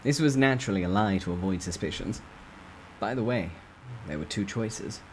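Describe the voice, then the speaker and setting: A man reading a novel aloud as a storyteller, with expressive, theatrical emphasis in a dramatic first-person narration. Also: on the low side, normal speed, a little hiss or hum, low-quality recording.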